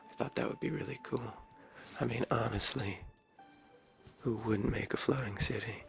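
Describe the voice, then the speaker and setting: A man with a low raspy voice giving an ASMR gameplay tour as a video creator, talking softly in a hesitant, rambling way. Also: steady tone, moderate pace. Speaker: video creator showing his game builds. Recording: dull lo-fi mic, clear background music. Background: game music.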